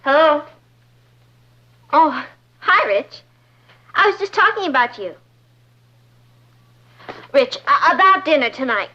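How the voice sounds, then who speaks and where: A young woman playing a melodramatic, scheming, excitable teenage girl, theatrical and emphatic, in a vintage TV sitcom. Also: sits high, slow pace, pauses often, dull audio, full character voice. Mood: evasive.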